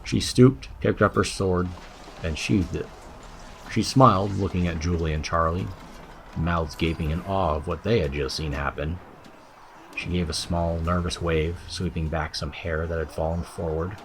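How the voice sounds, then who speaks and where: A man's voice: sits low, steady tone, normal speed, slight background noise. A man giving a scripted narration of a fantasy audiobook as its narrator.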